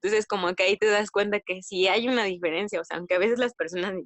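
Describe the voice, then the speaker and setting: A woman's voice: medium pitch, fast talker, no room sound. Mexican woman telling stories, close dry sound. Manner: animated retelling with quoted dialogue and slang. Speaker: podcast guest. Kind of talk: casual conversational storytelling.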